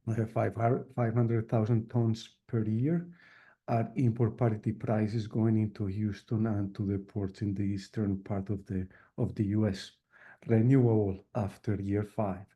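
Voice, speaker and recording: A male executive answers business questions in a very dark, mellow voice. His intonation stays flat, and the call audio is dull and muffled. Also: fairly low pitch, unhurried, breathy edge.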